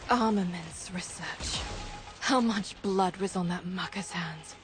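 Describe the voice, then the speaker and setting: A woman's voice: fairly low pitch, takes it slowly, over music. A woman playing a tough, dry, commanding resistance leader in a video game. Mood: sharp, determined. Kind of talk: video game mission briefing.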